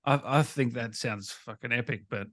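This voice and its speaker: Male host, medium pitch, very dry sound, casual chat, informal and keen. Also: normal speed, fairly bright tone, no echo. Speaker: interviewer, gaming channel host.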